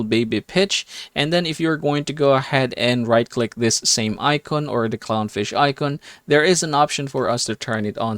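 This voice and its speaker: A man narrating a step-by-step software tutorial in an instructional, guiding way. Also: medium pitch, no echo, clean studio sound.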